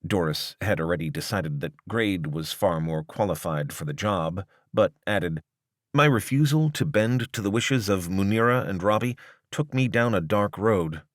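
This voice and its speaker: A man with a low voice narrates a piece of written journalism. His delivery is scripted, measured and articulate, with a formal tone. The sound is dry, with very little room around the voice. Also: animated, no echo. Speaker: narrator reading a long-form article.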